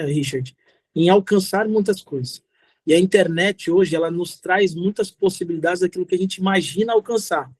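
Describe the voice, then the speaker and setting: A middle-aged man with a Brazilian accent and a rather high voice, recorded dry and close. He talks like a motivational guest speaker, informal and direct, driving key phrases home by repeating them. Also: a little mellow, dry recording. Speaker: guest speaker, social entrepreneur. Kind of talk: motivational talk answering audience questions.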